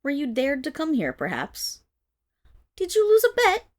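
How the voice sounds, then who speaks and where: Woman acting as young female wolf monstergirl, tsundere, noble, haughty, indignant, highly animated intonation, fairly high voice, ASMR roleplay. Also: a little slow, heavily acted. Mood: proud, guarded, then scornful. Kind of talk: ASMR roleplay, dramatic monologue to listener.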